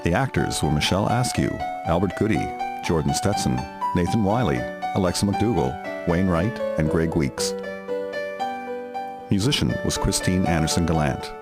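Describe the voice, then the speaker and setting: A man's voice: sits low, lively intonation, normal speed. A man reading scripted narration as the storyteller of an audio drama. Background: music.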